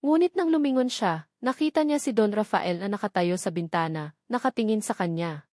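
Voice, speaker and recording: A woman's voice: medium pitch, expressive, a little fast, darkish tone, no echo, studio quality. A woman reading a scripted story as its narrator.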